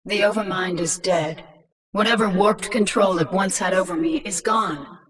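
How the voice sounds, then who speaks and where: A woman voice acting a cunning, commanding infested queen in a video game, with a cool, measured, persuasive delivery. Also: lowish voice, fairly bright tone, far from the mic, natural voice. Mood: urgent insistence. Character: cunning, commanding infested alien queen, former mindless killer.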